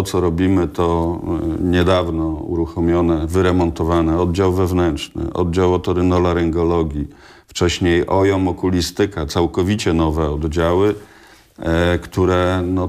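Deep-voiced man, measured and hesitant. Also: a little mellow. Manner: measured, hesitant, many filler sounds. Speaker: hospital director, studio guest. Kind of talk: radio studio interview answers. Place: small TV studio.

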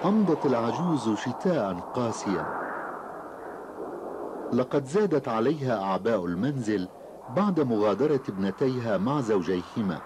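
A man's voice: mid-range voice, very animated, normal speed, natural voice. A man narrating a children's cartoon tale in formal Arabic as a solemn storyteller. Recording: noisy, hissy old cartoon soundtrack.

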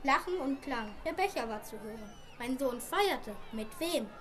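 A woman plays a wealthy merchant father in an amateur radio play. The voice is very high, proud and emphatic, declaiming like someone deeply aggrieved. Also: expressive, moderate pace, a bit bright. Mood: indignant.

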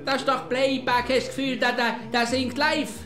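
Actor as high-pitched grumbling Swiss husband. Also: male voice, flat delivery, brisk. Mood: mocking, annoyed. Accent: Swiss German. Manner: blustering, repetitive, sarcastic. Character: grumbling, mocking middle-aged Swiss husband watching TV. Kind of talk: comic radio play dialogue. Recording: old radio play with music behind.

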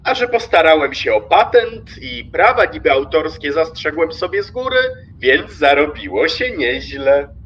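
An actor playing a smug, pragmatic railway stationmaster in a radio play, pompous and self-satisfied. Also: male voice, a little high, sing-song, normal speed, a bit bright, highs cut off.

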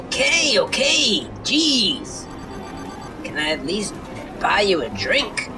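A lecherous sci-fi audio drama lout, acted by a man, leers cheekily in British slang. His pitch swoops about and he takes his time, with music behind him. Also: huge pitch swings, takes it slowly, heavily acted. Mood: flustered. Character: lecherous, cheeky male lout in a spaceport brothel.

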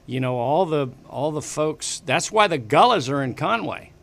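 A man's voice: a little high, lively intonation, moderate pace, gravelly, fairly bright tone. An older man from the western United States, a studio guest telling long stories in a casual, rambling way with many restarts, speaking into a desk mic. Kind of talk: casual interview storytelling. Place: small studio with bookshelves. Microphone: desk broadcast mic on boom arm.

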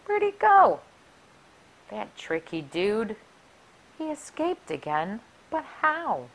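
A middle-aged woman reading a rhyming picture book aloud for children in an expressive, deliberate, sing-song way. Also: fairly high pitch, poor audio. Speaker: library story time reader. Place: small furnished room indoors. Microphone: clip-on lapel mic.

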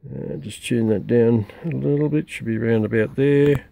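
An older Australian man casually demonstrating radios outdoors in a garden, relaxed and rambling with many fillers. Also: medium pitch, normal speed, vocal fry. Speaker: radio hobbyist presenting receiver comparison. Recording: noisy outdoor recording.

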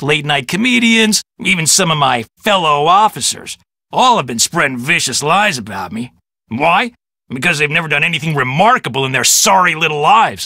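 An actor playing a vain, boastful and defensive police detective in a video game, with an American accent and a self-important, dismissive manner. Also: male voice, a little high, sharp tone, dry recording, studio quality, heavily acted. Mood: defensive, indignant. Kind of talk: video game character acting.

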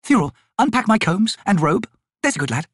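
Man putting on a high-pitched haughty noble sorceress. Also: sing-song, fairly quick, fairly bright tone, husky, dry recording, studio quality, full character voice. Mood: breezy, imperious. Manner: ornate, lilting, imperious. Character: haughty, teasing noble sorceress, female, flirtatious and grand.

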